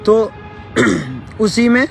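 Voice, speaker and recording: Hoarse high-voiced man, throat clearing. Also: big pitch swings, soft background music. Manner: clears throat often, halting with fillers. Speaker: live stream host. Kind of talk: casual live update. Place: sitting outdoors near a court.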